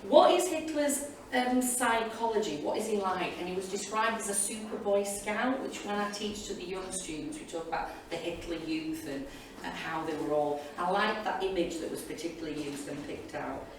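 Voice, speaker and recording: A woman's voice: medium pitch, moderate pace, hardly any pauses. A woman teacher giving informal, enthusiastic and rambling reflections to an audience as a panelist. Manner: chatty, enthusiastic, digressive. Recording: echoey hall, distant mic, loud room noise.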